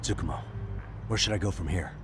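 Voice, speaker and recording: A determined detective played by a man talks in a very low voice, calm and businesslike. It is game cutscene acting with clear music underneath. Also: fast talker, lightly acted. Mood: focused, calm. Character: determined, level-headed male detective. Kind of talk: dramatic video game voice acting.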